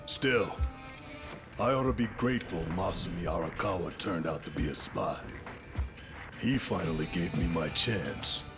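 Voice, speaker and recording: A deep, menacing gangster villain. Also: male voice, unhurried, over music. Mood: smug. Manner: taunting, smug, menacing. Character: cruel, gloating male yakuza villain, former boxer. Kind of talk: dramatic video game cutscene acting.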